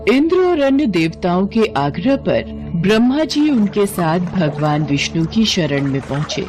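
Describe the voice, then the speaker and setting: A woman's voice: on the low side, sing-song, unhurried, music in the background. A woman narrating a scripted mythological tale as a storyteller.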